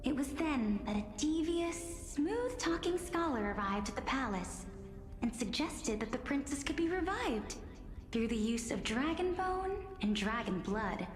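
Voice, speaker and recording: High-voiced young storyteller, echoing. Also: female voice, unhurried. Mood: dramatic, ominous. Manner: theatrical, expressive storytelling. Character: playful young woman storyteller spinning dramatic dark legends. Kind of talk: video game voice acting, dramatic tale narration. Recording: roomy reverberant sound with music behind.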